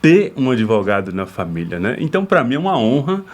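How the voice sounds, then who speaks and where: A man's voice: mid-range voice, big pitch swings, a little fast, a crowd in the background. Chatty Brazilian male podcast host. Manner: chatty, frequent tag questions. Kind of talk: casual interview.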